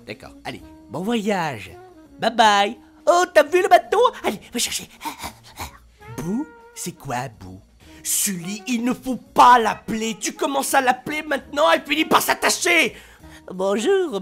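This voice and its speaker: A man's voice: high voice, fairly bright tone, voice pushed up, exaggerated voice. A man voicing a small, fussy, sarcastic cartoon sidekick creature with a chattery, exasperated manner.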